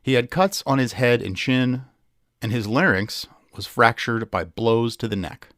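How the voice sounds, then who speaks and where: A man's voice: medium pitch, unhurried, a bit bright, no room sound, studio quality. A man reading a scripted true crime article as a dramatic narrator.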